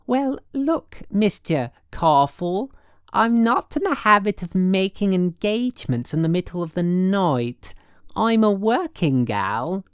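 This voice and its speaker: A woman's voice: medium pitch, big pitch swings, slow pace, clear, resonant tone, no room sound, clean studio sound, voice pushed up. A woman voicing a brassy working gal in an audiobook, slangy and suspicious, with affectedly genteel airs. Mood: coy, haughty.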